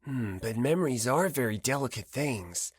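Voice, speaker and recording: A performer voicing a shrewd, smiling teenage boy in an audiobook, calmly arguing and slyly agreeable. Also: medium pitch, moderate pace, breathy edge, dry recording. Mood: slyly reasoning.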